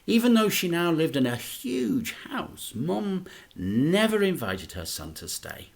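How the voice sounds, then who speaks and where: A man, a teacher, reading a children's book aloud in an expressive, dramatic storytelling manner. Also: high voice, a little slow, gravelly.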